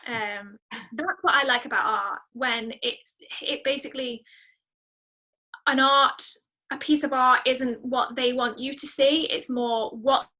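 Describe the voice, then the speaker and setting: A woman's voice: high-pitched, fairly even intonation, unhurried, bright, forceful tone. A woman talking casually as an interview guest, reflective and enthusiastic as she thinks through her answers.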